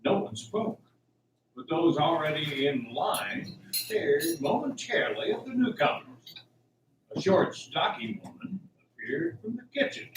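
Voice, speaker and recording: A man's voice: fairly high pitch, big pitch swings, a little slow. A man reading scripted narration for an amateur radio drama. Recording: echoey room, distant mic, faint hiss.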